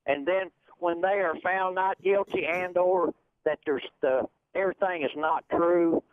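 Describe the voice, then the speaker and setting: Guy calling in, higher voice, tinny phone line. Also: no echo. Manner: halting, frustrated. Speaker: caller.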